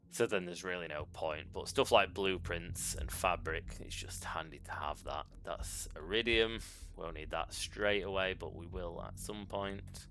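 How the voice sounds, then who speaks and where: A man's voice: sits low, sharp tone. A man casually talking through a video game as a channel host, thinking aloud with ums and self-corrections into a desk mic. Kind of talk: casual gameplay commentary. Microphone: desk microphone.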